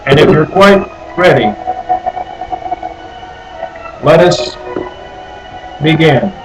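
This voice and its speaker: A man reading a picture book aloud as a storyteller, deliberately, with questions and asides to his young listeners. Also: on the high side, animated, music in the background, poor audio. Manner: deliberate, asks the listeners questions and repeats phrases.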